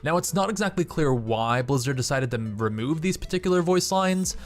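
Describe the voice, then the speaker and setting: A man's voice: on the high side, expressive, moderate pace. A young man hosting a gaming channel, reading scripted video narration. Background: background music.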